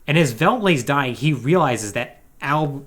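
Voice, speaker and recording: A man's voice: mid-range voice, animated, a little slow, fairly bright tone. A man hosting a podcast, recapping a video game storyline in a casual, conversational way with wry asides. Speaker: podcast host.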